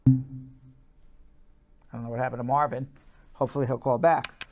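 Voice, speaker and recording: A man's voice: mid-range voice, steady tone, normal speed, a little mellow, faint music behind. A man hosting a live call-in show, giving legal advice to callers in a blunt, emphatic, repetitive way.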